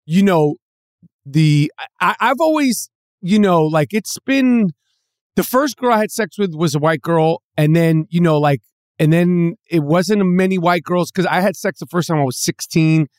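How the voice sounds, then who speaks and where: A woman's voice: fairly low pitch, a little mellow, no echo, clean studio sound. A woman from New York chatting casually as a guest on a podcast, often stammering and restarting her sentences. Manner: halting, many restarts and stammers. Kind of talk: casual chat.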